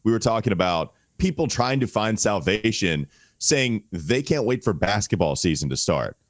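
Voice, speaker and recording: A man's voice: fairly low pitch, lively intonation, normal speed, dry recording, studio quality. A male sports podcast co-host, under the weather and holding his voice back, talking with wry, exaggerated gloom. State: under the weather, voice strained and quiet. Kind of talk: casual sports talk.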